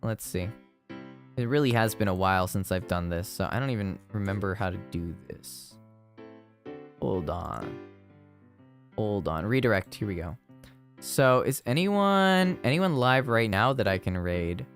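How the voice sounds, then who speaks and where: A man's voice: lowish voice, animated, unhurried, soft background music. A man streaming a game and chatting casually, with reverb on the voice. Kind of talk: casual livestream chat. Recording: reverberant, echoey voice effect.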